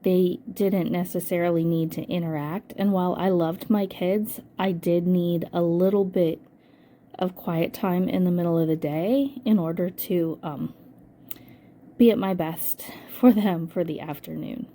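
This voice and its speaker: An older woman with a hoarse voice from a cold, casually narrating a scrapbooking voice-over and thinking aloud with frequent ums. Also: on the low side, steady tone, a little slow, mellow, slight background noise. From United States. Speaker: scrapbooking channel host.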